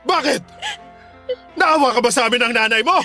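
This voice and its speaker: A man playing a stern, hot-tempered middle-aged father in a Filipino radio drama, scolding and gruff in manner. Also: very high voice, normal speed, sharp tone, soft background music, full character voice. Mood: furious, scolding.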